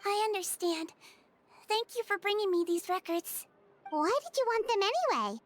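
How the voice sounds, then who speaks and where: A woman's voice: very high voice, expressive, normal speed, soft background music. A woman voicing a small, cute, earnest girl companion in an anime fantasy game. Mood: earnest, gentle.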